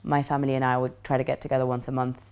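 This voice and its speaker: A woman in her twenties from Argentina, answering interview questions in an earnest, steady way. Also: a bass voice, very fast, clear, resonant tone. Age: 20s.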